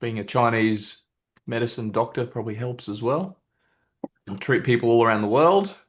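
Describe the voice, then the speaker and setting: A man's voice: medium pitch, moderate pace, slight background noise. Man hosting a casual interview. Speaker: host, property investment coach. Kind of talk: casual online interview introducing a guest. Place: remote video call.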